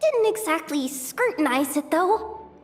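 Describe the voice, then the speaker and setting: A woman's voice: very high-pitched, very animated, unhurried, full character voice. A woman voicing a timid, awkward young female anime game character who speaks hesitantly and stammers. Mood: nervous. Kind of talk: anime video game voice acting.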